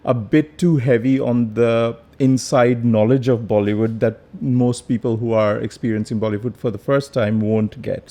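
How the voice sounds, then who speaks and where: A man from northeast India, with Bengali as his first language, co-hosts a casual podcast chat. His voice is warm and mellow, at an average pitch for a man. He talks in a relaxed, wry way. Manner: relaxed, wry, quick to joke. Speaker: podcast co-host.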